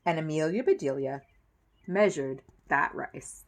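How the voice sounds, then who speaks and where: A woman reading a children's story aloud in an expressive storytelling style. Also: sits low, measured pace, slight background noise. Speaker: storybook reader.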